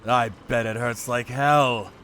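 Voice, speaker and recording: A man's voice: medium pitch, steady tone, slow, fairly bright tone, slight background noise. A man narrating a manga story in the first person with a theatrical, cocky delivery.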